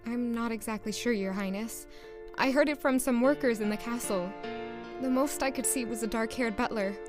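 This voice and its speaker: An actress playing an earnest, nervous young palace maid who narrates and speaks in a first-person audio drama. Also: female voice, on the high side, normal speed, music in the background, lightly acted. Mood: nervous, apologetic.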